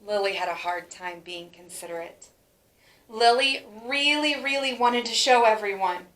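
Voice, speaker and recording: A woman reading a children's storybook aloud with expressive, emphatic phrasing for young listeners. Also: on the high side, normal speed, roomy sound, low-quality recording. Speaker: teacher reading a picture book aloud.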